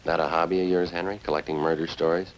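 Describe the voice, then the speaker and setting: A man playing a terse, unflappable police sergeant in a radio crime drama, speaking in a clipped, deadpan, matter-of-fact way. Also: low voice, fast talker, lo-fi sound, close to an everyday voice. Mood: cool, probing. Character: terse, unflappable male police sergeant narrating his case.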